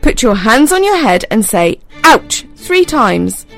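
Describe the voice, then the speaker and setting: A gruff pirate captain barks out orders, as a woman reading a bedtime story puts him on. His voice is loud and swoops between commands. Quiet music plays underneath. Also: sing-song, slow, exaggerated voice. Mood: stern. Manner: booming, commanding. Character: gruff bellowing male pirate captain, stern.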